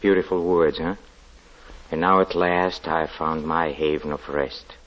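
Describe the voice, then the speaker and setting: A sinister, deeply pious lodger who speaks in a very low, hesitant voice, stammering through his words before turning stern. The sound is dull and muffled, as on an old radio broadcast. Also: steady tone, measured pace, a bit bright. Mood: weary. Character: sinister pious male lodger, weary and zealous. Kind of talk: old-time radio drama acting.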